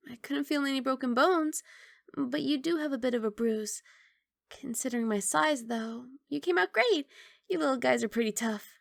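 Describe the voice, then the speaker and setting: A woman playing a young, sweet, apologetic giant dragon girl in an intimate ASMR roleplay, soft and coaxing with hesitant rambling. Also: high voice, gravelly, no room sound, studio quality. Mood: caring concern. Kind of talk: ASMR roleplay, intimate one-sided dialogue.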